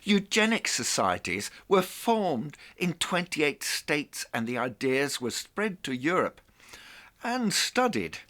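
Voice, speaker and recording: A man presenting a reflective monologue in a theatrical, deliberate way, with rhetorical asides. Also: on the high side, sing-song, a bit bright.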